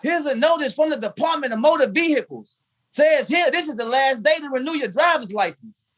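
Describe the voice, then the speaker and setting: A man's voice: very high-pitched, animated, a little fast, fairly bright tone. A man playing a loyal but cheeky household valet in 1950s radio comedy style, with dry, sassy punchlines. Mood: wry.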